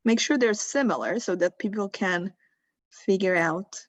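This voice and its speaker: Slow-talking woman. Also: mid-range voice. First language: Croatian. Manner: thinks aloud while working, asks learners questions. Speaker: workshop instructor. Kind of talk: live online tutorial.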